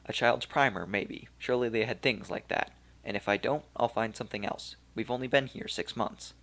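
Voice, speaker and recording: A determined young woman scholar, read by a man in a low gravelly voice. She speaks firmly and earnestly, with little change from the narrator's tone. An audiobook figure. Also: moderate pace, a bit bright.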